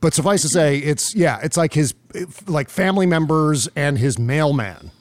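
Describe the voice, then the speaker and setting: American man, slightly high-pitched, dry close sound. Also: animated, moderate pace, no echo. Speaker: podcast host. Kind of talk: casual political commentary.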